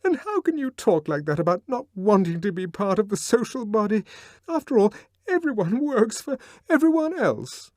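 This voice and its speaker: A man reading an audiobook and voicing a conventional young woman who pleads in a high, quickly upset voice. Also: big pitch swings, a little mellow, dry recording, studio quality. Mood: distressed. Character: young woman, cheerful, conventional, easily distressed. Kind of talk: audiobook character voice.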